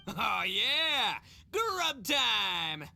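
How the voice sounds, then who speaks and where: A man voicing a loud, boastful and cheerful young brawler in a game cutscene. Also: sits high, very animated, very slow, creaky, heavily acted. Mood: hearty. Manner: boisterous, hearty. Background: game music.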